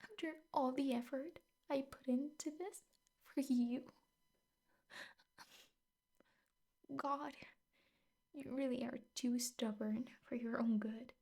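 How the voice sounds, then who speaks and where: A possessive yandere teacher girlfriend, acted by a woman in an intimate ASMR roleplay. Her voice is fairly high yet very soft and dark-toned, full of breath and often dropping to a whisper. She coaxes sweetly, with menace under the doting. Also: steady tone, measured pace. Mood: indignant.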